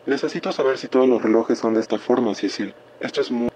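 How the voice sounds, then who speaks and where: A man's voice: mid-range voice, fast talker, a little mellow, close to an everyday voice. Man acting radio-drama scientist, Latin American accent, earnest, preoccupied, mid-range voice, slightly noisy voicemail. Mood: earnest, concerned. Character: earnest, uneasy male scientist leaving voicemails.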